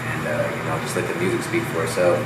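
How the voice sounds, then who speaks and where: A man's voice: rumbling low, completely flat delivery, very fast, far from the mic. A man in his twenties chatting casually and slangily as a podcast guest who makes music. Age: 20s. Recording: very noisy echoey room, off-mic.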